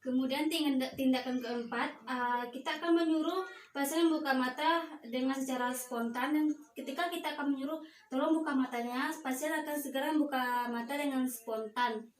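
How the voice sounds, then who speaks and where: A young woman gives a step-by-step instructional demonstration as a student, with halting, hesitant delivery. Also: high-pitched. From Indonesia. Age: young adult. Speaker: nursing student giving a practical demonstration. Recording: echoey room, distant mic, faint noise.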